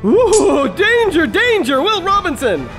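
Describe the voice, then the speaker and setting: A man's voice: very high-pitched, big pitch swings, slow, over music, full character voice. Man playing hammy, swooping clownish knight. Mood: cheeky bravado. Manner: hammy, parodic. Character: mock-heroic clownish rival knight, cheeky and excitable. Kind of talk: exaggerated farce audio play.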